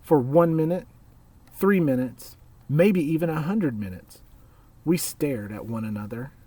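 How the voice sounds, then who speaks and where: A man reading a children's story aloud in a measured way, with pauses between phrases. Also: fairly high pitch, animated, mellow, husky, recorded from a distance. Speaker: storybook reader.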